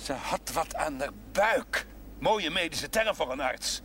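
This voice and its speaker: Indignant, high-voiced village doctor. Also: male voice, expressive, takes it slowly, sharp tone, exaggerated voice. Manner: emphatic, formal, indignant. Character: indignant, principled village doctor, formal and exasperated. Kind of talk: 1970s television drama acting. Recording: faint noise on old TV audio.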